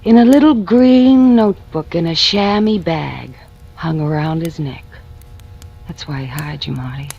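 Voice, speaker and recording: Muffled old-radio femme fatale. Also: female voice, deep voice, measured pace, gravelly, a little hiss or hum. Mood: scheming, seductive. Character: frightened, scheming femme fatale, a gangster's lover. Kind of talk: 1940s-50s radio noir acting. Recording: muffled vintage radio recording.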